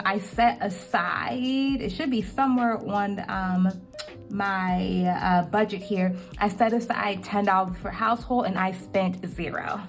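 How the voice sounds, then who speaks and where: A young woman talks casually through her monthly budget, chatty and full of ums. Her mid-pitched voice is slightly cracked today. Faint music plays softly under her voice. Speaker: budgeting vlogger.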